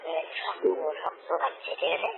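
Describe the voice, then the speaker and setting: Man on a very noisy phone line. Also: normal speed. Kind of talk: intimate casual phone chat. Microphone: phone call. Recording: noisy, narrow phone call recording.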